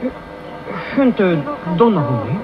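A high-voiced, flustered teenage boy. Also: big pitch swings, unhurried. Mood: nervous. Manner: stammering, hesitant. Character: flustered, pleading teenage boy on trial over drunken mistake. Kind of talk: 1990s radio drama acting. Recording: muffled AM radio broadcast, music behind.

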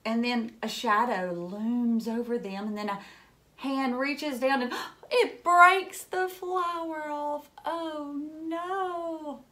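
A woman's voice: high voice, slow. A woman in her thirties reading a picture book aloud to young children, expressively and with dramatic emphasis and little asides. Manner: animated, dramatic gasps and hushed moments, simple questions to children. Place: furnished room at home, indoors.

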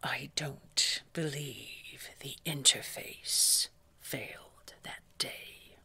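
A woman acting as a scary, condescending boss in an audio roleplay, speaking formally and precisely in a breathy voice. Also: rumbling low, drawn-out words, sharp tone, no echo, whispered, exaggerated voice. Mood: withering, suspicious. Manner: cold, precise, scolding, formal wording. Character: scary, condescending female boss at a secretive organization. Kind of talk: scripted audio roleplay drama.

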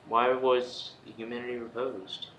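A man's voice: medium pitch, flat delivery, a little slow, fairly bright tone. A man giving casual, offhand, weary commentary as he plays through a video game. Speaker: gamer doing a playthrough. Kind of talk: casual let's play commentary. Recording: echoey room, faint background noise.

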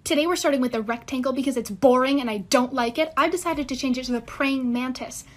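A woman talking to camera in a mock-serious, deliberate comic monologue. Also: sits high. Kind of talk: casual scripted-style monologue to camera.

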